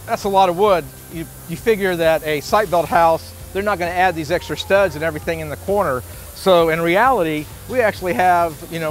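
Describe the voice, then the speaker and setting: A man in his fifties giving an informal, plain-spoken walkthrough as a sales director on a factory floor. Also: on the high side. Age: 50s. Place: large indoor factory floor.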